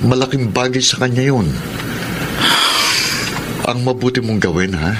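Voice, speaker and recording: A man's voice: mid-range voice, measured pace, close to an everyday voice. An actor playing a firm male friend giving emphatic advice in a radio drama. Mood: firm, advising. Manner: emphatic, advising. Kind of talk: Tagalog radio drama acting. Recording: clear background noise and ambience.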